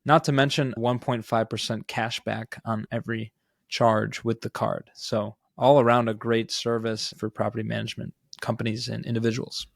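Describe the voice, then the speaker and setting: Man with fairly even-toned podcast delivery. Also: medium pitch, moderate pace, vocal fry, no room sound, studio quality. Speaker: podcast co-host. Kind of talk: conversational business news discussion.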